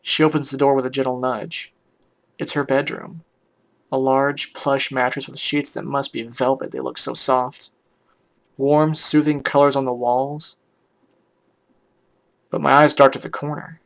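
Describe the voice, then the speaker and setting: A man plays a weary pony musician pouring out a bitter, tender story. The voice is mid-pitched with a gravelly fry, and the delivery is hushed, halting and full of feeling. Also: level tone. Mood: weary, subdued. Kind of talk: dramatic first-person fan fiction reading.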